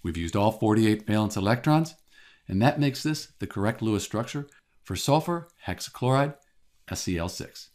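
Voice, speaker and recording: A lower-voiced man walks through a chemistry problem one step at a time. His pitch moves a lot as he explains, but his delivery stays calm and methodical, like a teacher narrating over a drawing. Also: moderate pace. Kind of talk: scripted lesson voice-over.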